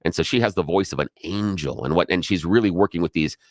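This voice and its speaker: A man's voice: low-pitched, fast, dry recording. A middle-aged man co-hosting a radio talk show, telling stories in casual chat with a big, projecting voice and acting out the people he quotes. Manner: animated storyteller who acts out quoted voices. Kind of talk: casual on-air chat and storytelling.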